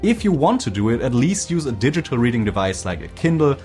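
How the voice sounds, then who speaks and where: A man narrating a scripted language-learning video in a clear, explanatory way. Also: medium pitch, sing-song, fast, darkish tone, faint music behind.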